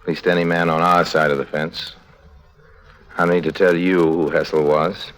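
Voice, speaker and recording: An actor in a 1950s radio drama playing a smooth, calculating con man, conversational and insinuating. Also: deep voice, flat delivery, normal speed, a bit bright. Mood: pressing, knowing. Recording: vintage 1950s radio recording.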